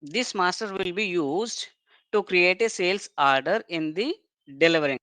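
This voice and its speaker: A software trainer giving a step-by-step technical lecture in an explanatory way, often punctuating points with short checking tags. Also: medium pitch, normal speed, clean tone, dry recording.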